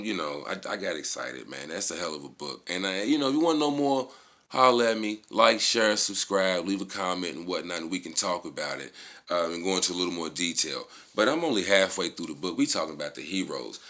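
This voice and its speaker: A man's voice: deep voice, a bit bright. An American man giving a casual motivational vlog talk, emphatic and slangy, swearing freely and repeating key words. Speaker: vlogger.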